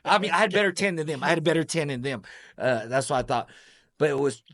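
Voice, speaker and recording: A man in his fifties, born in Vietnam and raised in Kansas and Texas, telling his life story as an interview guest in an animated, joking way with laughs mixed in. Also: on the high side, fast, no echo, clean studio sound. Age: 50s. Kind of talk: casual storytelling.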